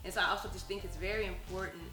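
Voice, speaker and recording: A woman co-hosting a casual chat show, talking with lots of energy and a soft, soothing side she brings out on purpose. Her voice sits at a middle pitch. It rings in a roomy space, with music clear in the background. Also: rapid-fire. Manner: animated, switches into soft soothing voice. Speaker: podcast co-host.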